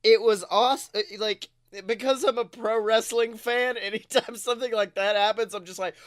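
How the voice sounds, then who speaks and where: A man with a notably high voice talks casually as a podcast co-host. He tells things in an animated, profane way, quoting his own reactions. The sound is very dry, with next to no room echo. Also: normal speed. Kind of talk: casual film discussion chat.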